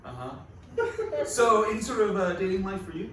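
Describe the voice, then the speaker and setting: A man plays a needy, wisecracking husband who fancies himself a comedian. His voice is high and very animated, full of defensive banter. It comes from a distance in a very echoey live table read. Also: normal speed. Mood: sheepish. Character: wisecracking, needy amateur comedian and bar owner, married man. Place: live performance venue. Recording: distant, echoey, noisy live-venue recording.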